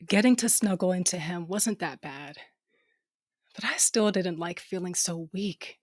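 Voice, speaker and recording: Low-voiced, wry smuggler heroine. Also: female voice, unhurried, dry recording, studio quality. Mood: wry, tender narration. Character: tough, wry female smuggler, wounded and sardonic. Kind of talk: first-person audio drama narration with dialogue.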